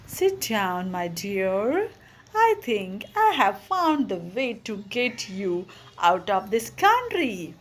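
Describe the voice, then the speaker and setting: A woman's voice: mid-range voice, sing-song, takes it slowly. A woman reading a children's storybook, voicing a pleasant little humbug wizard man who explains things chattily. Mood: pleasant, musing. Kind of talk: children's storybook read-aloud.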